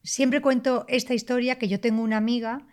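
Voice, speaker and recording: A woman's voice: fairly high pitch, fairly even intonation, brisk, darkish tone. A woman co-hosting a podcast, chatting casually in a self-deprecating way. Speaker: podcast co-host. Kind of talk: casual interview chat.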